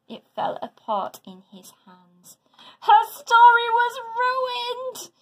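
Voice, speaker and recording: A woman reads a children's story like a teacher addressing her class. Her delivery is dramatic and deliberate, with very slow pacing. The voice is pitched fairly high. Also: fairly bright tone. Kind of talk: expressive children's storybook narration.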